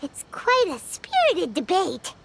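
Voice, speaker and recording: A woman voicing a tiny, childlike and excitable fairy companion in an anime fantasy game, squeaky and speaking of herself in the third person. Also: slow pace, vocal fry, background noise, heavily acted. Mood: curious, doubtful. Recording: music behind the voice. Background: game music.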